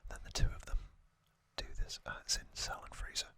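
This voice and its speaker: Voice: normal speed, bright, forceful tone. An ASMR host whispering a recap in a conversational, slightly hesitant way.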